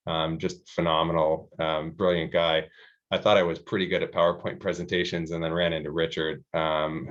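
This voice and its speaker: A man answering a question on an admissions panel in a casual, steady way, filling pauses with many ums. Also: deep voice, dull audio. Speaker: EMBA student panelist.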